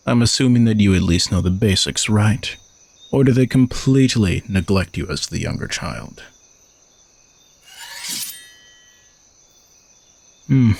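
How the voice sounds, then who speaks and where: A man's voice: fairly low pitch, creaky, a little mellow, no echo, exaggerated voice. A man playing an arrogant, sardonic knight who is a reluctant royal bodyguard, teasing and condescending in a romantic audio roleplay. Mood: taunting, playful. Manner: teasing, dry, exasperated sighs, condescending.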